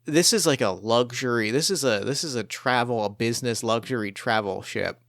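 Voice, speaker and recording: A man improvising narration as game master of a tabletop roleplaying game, speaking haltingly and repeating phrases as he builds his descriptions. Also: mid-range voice, vocal fry, a bit bright, no echo, studio quality.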